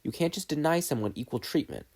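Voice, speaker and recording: A man's voice: on the high side, expressive, quick pace, darkish tone, husky, voice pushed up. A man voicing a passionate, determined teenage boy student, earnest and insistent, in a story reading.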